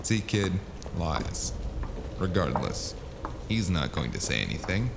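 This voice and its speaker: Noir audio drama lead: world-weary male detective played by a man, very low and gravelly, dry wisecracks over a noisy ambient bed. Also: very animated, slow pace, full character voice. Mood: wry banter. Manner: dry, sardonic, laconic.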